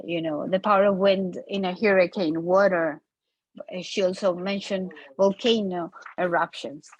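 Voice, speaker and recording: A woman moderating an online panel forum, introducing a speaker in a measured way with frequent fillers and repeated words. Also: medium pitch, no room sound.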